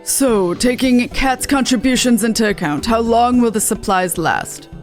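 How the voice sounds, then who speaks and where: A woman's voice: fairly high pitch, measured pace, soft background music, natural voice. A woman voicing a dignified older nobleman in a video game, earnest and formal with archaic phrasing. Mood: courteous, approving. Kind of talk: amateur game dialogue read-aloud.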